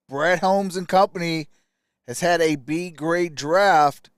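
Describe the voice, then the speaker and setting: A man's voice: on the high side, clear, resonant tone, no echo, studio quality. A man hosting a live stream, giving casual, colloquial sports commentary like a die-hard fan.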